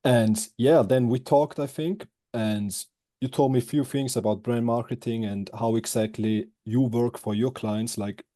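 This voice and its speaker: A man in his twenties from Switzerland, answering interview questions casually in halting English with many fillers and restarts. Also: mid-range voice, fairly even intonation, warm tone, no room sound, studio quality. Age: 20s. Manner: halting, loose phrasing with frequent fillers and restarts. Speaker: guest, former student.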